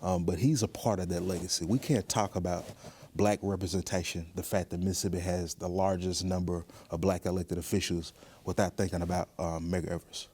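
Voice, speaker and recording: Very deep-voiced man, measured interview guest. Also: fairly even intonation, a little mellow, slight background noise. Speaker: museum professional guest. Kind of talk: thoughtful interview answers.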